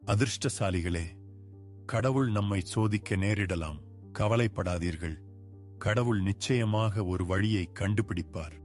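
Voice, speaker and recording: A careworn village laborer and father, voiced by a man speaks with an earnest, pleading manner in a dubbed cartoon. His voice sits a little low. Soft music plays beneath him. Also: a little slow, natural voice. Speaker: voice actor. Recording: music bed behind.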